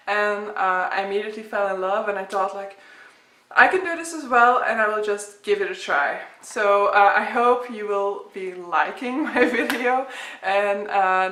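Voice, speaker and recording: A woman's voice: mid-range voice, pushed and bright. A woman in her thirties from Belgium with a Flemish accent, a native Dutch speaker chatting casually about books in a rambling way with frequent fillers. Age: 30s. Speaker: book vlogger. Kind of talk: casual chat to camera. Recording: echoey room.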